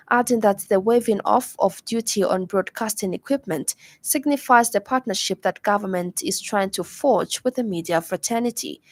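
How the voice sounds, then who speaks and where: A woman's voice: mid-range voice, no room sound. Zambian woman reading radio news, dry sound. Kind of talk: scripted news bulletin reading. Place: radio studio.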